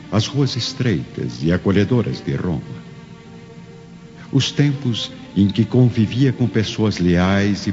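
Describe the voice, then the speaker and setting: A man narrates a radio drama in a deep voice with a Brazilian accent. His scripted, dramatic delivery rings with long reverb over soft music. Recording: hall reverb, dull audio, music underneath.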